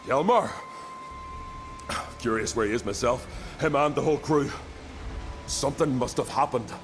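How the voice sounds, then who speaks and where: An actor playing a loyal, hot-headed young island warrior in a video game, with an earnest, colloquial way of telling a tale. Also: male voice, mid-range voice, moderate pace, over music, natural voice. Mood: wary, earnest. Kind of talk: dramatic video game voice acting.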